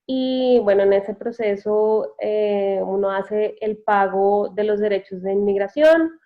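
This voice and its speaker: A woman's voice: medium pitch, takes it slowly. Woman on a tinny call line. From Bogotá, Colombia. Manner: thoughtful, with hesitations and self-corrections. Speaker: interview guest, immigrant. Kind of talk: casual interview answers. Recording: tinny phone-like call audio.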